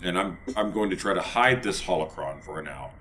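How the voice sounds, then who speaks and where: A man's voice: low-pitched, normal speed, pushed and bright, a little hiss or hum. A man playing a tabletop game, talking casually through what his character does.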